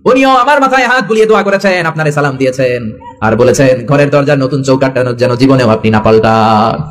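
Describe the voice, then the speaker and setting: A man's voice: mid-range voice, lively intonation, brisk, close to an everyday voice. A male preacher voicing a kind, courteous young wife who is devout and welcoming, in a sermon story. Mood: reassuring. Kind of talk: acted dialogue within a sermon.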